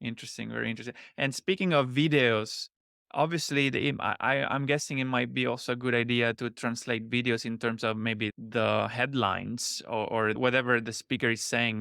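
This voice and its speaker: A male podcast host asks his questions hesitantly, thinking out loud and checking in with "right?". His voice has a gravelly edge at an ordinary pitch. It is recorded close and dry. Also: steady tone, normal speed, no room sound. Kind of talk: casual interview questions.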